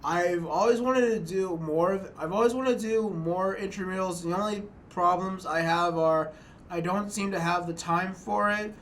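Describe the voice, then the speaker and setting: Hesitant young man, slightly high voice. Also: fairly bright tone, slight background noise. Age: young adult. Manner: halting, many restarts and fillers. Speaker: student filmmaker. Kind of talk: documentary narration to camera. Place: small dorm room indoors.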